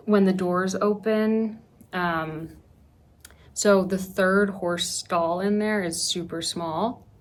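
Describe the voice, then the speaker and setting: A woman tells a personal story in a casual vlog style. Her voice sits a little low and she goes at a very unhurried pace, acting out reactions along the way. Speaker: vlogger telling a story.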